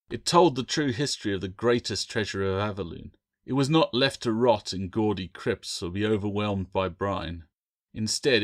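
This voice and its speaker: A man's voice: on the low side, lightly acted. A man voicing a proud, shrewd pirate captain in an audiobook, speaking formally and with authority.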